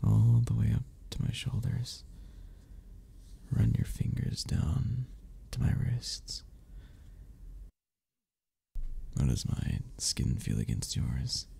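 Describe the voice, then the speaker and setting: A man playing a flirty, gentle cuddle club member in an intimate romantic ASMR roleplay, speaking softly with a breathy, gravelly voice that often drops toward a whisper. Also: lowish voice, sing-song, heavily acted. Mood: tender, hushed. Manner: soft, slow, teasing, with frequent chuckles.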